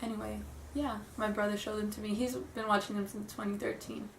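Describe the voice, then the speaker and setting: A woman's voice: mid-range voice, flat delivery, brisk, slight background noise. Young woman, stuffy from a cold, nervous giggly rambling, roomy far-off home camera sound. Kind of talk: casual vlog chat. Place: room at home.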